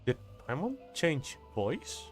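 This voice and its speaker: A man doing casual live gameplay commentary as a streamer, halting and often repeating how his phrases start. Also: on the high side, huge pitch swings. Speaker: game streamer. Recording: faint game music behind.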